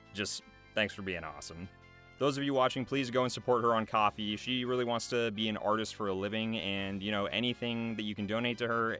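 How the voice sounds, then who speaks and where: A young man with a fairly low voice talks casually to his viewers. His delivery is earnest and a little hesitant, as if loosely following notes. Faint music plays behind him. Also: a bit bright. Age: young adult. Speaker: voice actor and video creator. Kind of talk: casual thank-you address to camera, loosely scripted. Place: small foam-treated recording booth. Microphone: large studio microphone close by.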